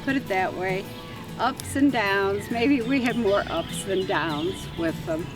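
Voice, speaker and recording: A woman's voice: medium pitch, expressive, distant mic. An older woman speaking fondly and reflectively about her grown sons in a documentary interview. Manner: unhurried, fond and reflective. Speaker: mother of the lawyers. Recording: music under voice, from off the mic.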